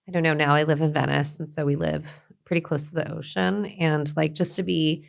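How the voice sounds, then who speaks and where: A middle-aged woman, a guest talking reflectively in an interview, with many restarts and soft trailing endings. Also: deep voice, flat delivery, brisk, creaky. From Los Angeles, USA. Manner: thoughtful, many fillers and restarts, trailing softly. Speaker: guest, beauty brand founder.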